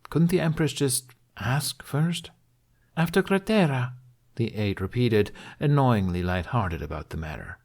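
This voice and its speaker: A man's voice: fairly low pitch, expressive, a little slow, hardly any pauses, darkish tone. A man narrating scripted fiction as an audiobook reader.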